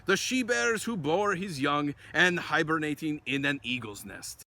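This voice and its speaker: A man narrating a children's story as an expressive storyteller. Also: fairly high pitch, unhurried.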